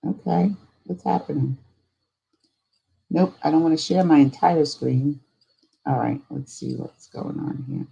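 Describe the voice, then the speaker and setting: Female livestream host, slow pace, casual and rambling delivery, informal chat with viewers. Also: low-pitched, vocal fry, mellow, breathy edge, muffled recording. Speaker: host of a live spirituality stream.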